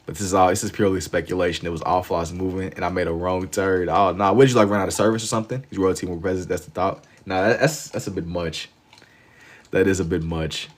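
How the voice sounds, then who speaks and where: A man with an American accent hosting a livestream, chatting casually and slangily with viewers, amused and often repeating phrases for emphasis. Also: sits low. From Louisville, Kentucky, United States. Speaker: livestream host. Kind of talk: casual chat with live viewers while drawing.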